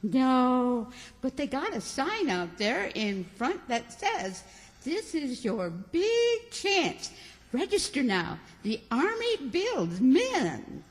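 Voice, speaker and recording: High-voiced brazen country spinster played by a woman. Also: very animated, slow, exaggerated voice. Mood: eager. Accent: rural Southern American. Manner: folksy, exclaiming, emphatic. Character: folksy, man-hungry country spinster, cheerfully brazen. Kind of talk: old-time radio comedy acting. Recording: stage performance in reverberant hall.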